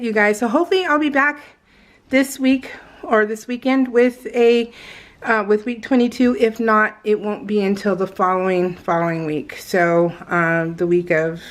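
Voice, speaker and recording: A woman casually chatting to her viewers as a crafting vlogger, rambling with fillers and trailing off. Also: mid-range voice.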